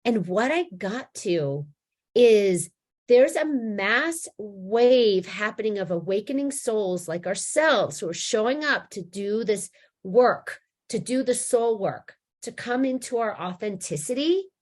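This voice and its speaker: A hoarse woman teaching about spiritual awakening in a casual talk, rambling with many restarts and slowing down to stress key words. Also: medium pitch, lively intonation, a little mellow.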